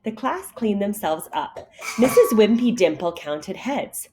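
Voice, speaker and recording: A woman reading a picture story aloud to kindergartners in an expressive, deliberate teacher's manner. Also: medium pitch. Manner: animated, deliberate storytelling for young children.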